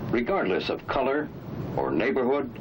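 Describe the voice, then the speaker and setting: A man's voice: mid-range voice, sing-song, normal speed, a crowd in the background, rough recording. A man narrating a 1960s instructional film in an emphatic, authoritative, rhetorical manner. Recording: old 1960s film soundtrack, loud music. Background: loud music.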